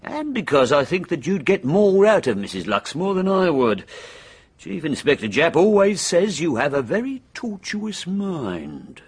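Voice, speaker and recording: Dogged British policeman, very husky, hugely varied pitch, blunt and businesslike radio drama delivery. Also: wildly swooping pitch, a little slow, full character voice.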